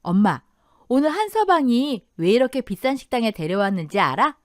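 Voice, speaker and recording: A woman's voice: a little high, big pitch swings, normal speed, no echo, clean studio sound. A woman voicing a plucky adult daughter, pert and defiantly polite, in a radio story. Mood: excited.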